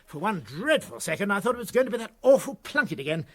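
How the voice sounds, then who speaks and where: A man's voice: high-pitched, very animated, fast talker, exaggerated voice. A man with a British accent playing a pompous, weary, self-important prison governor in a radio comedy. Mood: weary, genial. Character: pompous, weary male prison governor writing his memoirs.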